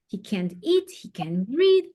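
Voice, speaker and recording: A woman voicing an excitable first-grade boy who loves insects, talking in a breathless, gushing rush. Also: on the high side, takes it slowly, full character voice. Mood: anxious.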